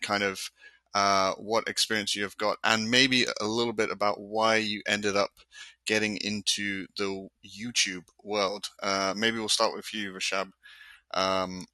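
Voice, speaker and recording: A man's voice: on the low side, no echo. A man hosting a live online architecture discussion, chatting casually with fillers and easy laughs. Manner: relaxed, rambling, frequent fillers and laughs. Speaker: host of an architecture talk.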